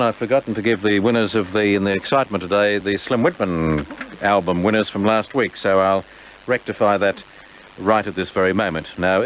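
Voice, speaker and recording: An Australian man presenting a music show on the radio. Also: fairly low pitch. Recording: dull 1981 radio tape, faint noise.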